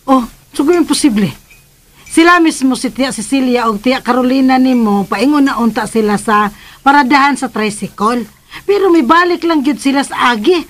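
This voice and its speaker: High-pitched nagging mother. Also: lively intonation, normal speed. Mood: gossipy. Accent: Filipino. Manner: scolding, sardonic. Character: nagging, sharp-tongued, gossipy mother of a grown son. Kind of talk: radio drama acting.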